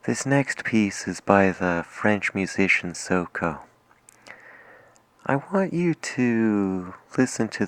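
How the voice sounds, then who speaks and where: A man speaking softly and intimately as a late-night radio DJ in an unhurried, soothing ASMR style. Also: low voice, no echo. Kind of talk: soft-spoken ASMR radio DJ roleplay.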